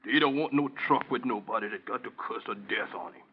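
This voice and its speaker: An actor playing an aged, ominous and defiant servant of a decaying estate, with a Southern US accent, in 1940s radio style. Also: male voice, on the low side, fairly quick, breathy edge. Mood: grave, foreboding. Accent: American South. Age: older. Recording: dull old radio recording.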